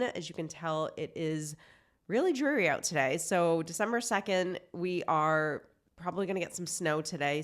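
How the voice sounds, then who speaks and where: A woman in her forties, a life coach hosting her own show, talking in a chatty, earnest way while still congested from a cold. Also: lowish voice. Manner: chatty, earnest, lots of "like" fillers. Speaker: life coach and podcast host. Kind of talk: casual self-help talk to camera.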